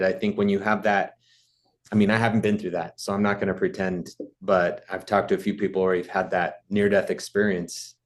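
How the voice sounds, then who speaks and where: A man hosting a casual interview, encouraging his guest with short affirmations. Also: low-pitched. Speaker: podcast host.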